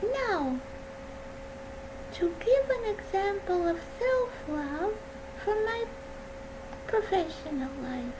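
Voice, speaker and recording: Very high, soft-voiced woman speaking slowly. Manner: gentle, earnest storytelling. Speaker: mental health speaker. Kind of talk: personal talk. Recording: steady background hum.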